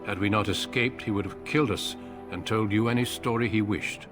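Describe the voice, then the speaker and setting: An actor playing a grave, seasoned middle-aged warrior commander in a fantasy game, measured, formal and courteous. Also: male voice, lowish voice, steady tone, over music, lightly acted. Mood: formal, sober. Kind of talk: fantasy video game acting.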